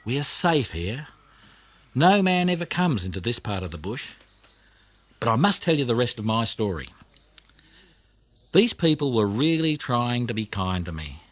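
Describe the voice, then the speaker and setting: A man's voice: medium pitch, very animated, moderate pace, pauses often, a little hiss or hum, natural voice. A man voicing a chatty, motherly female koala who tells of her plight in a dramatic, plaintive way for a children's audiobook. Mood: reassuring, relieved. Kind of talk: children's audiobook character reading.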